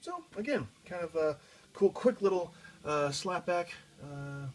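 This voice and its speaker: A man giving a casual, explanatory demonstration of a guitar effects pedal in a halting way, as a teacher would. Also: fairly high pitch, lively intonation, a little hiss or hum. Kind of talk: casual product demo.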